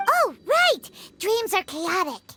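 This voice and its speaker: A woman voicing a small, childlike, excitable fairy companion in an animated video game, squeaky and exclamatory. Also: takes it slowly, background noise, full character voice. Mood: curious, excited. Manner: refers to self in third person, exclamatory. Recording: loud music behind the voice.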